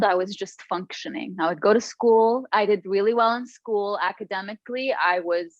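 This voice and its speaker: Lebanese-born woman guest, thoughtful and measured, low pitch, dry muffled lo-fi audio. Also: dry recording. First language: Arabic. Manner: thoughtful, slow, candid, with restarts. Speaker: guest author. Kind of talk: reflective personal interview.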